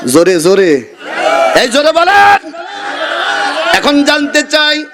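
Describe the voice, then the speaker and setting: A Bangladeshi man preaching an Islamic sermon emphatically, repeating phrases and urging his listeners to respond. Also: high-pitched. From Kushtia region, Bangladesh. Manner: emphatic, rhetorical repetition, exhorting listeners to answer. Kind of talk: fiery sermon with call-and-response. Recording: live gathering with crowd behind. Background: crowd of listeners.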